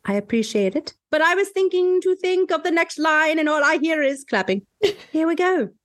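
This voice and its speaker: A woman co-hosting a podcast in casual chat, with a playful, expressive manner. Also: sits high, moderate pace. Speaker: podcast co-host.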